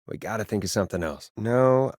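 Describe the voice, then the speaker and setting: A man's voice: lowish voice, lively intonation, a little fast, a little mellow, no echo, studio quality. An actor playing a wry radio DJ in a video game, a man who talks in a conversational way. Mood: wry, pensive. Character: Forrest Nash, wry late-night radio DJ, a grown man. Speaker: radio host.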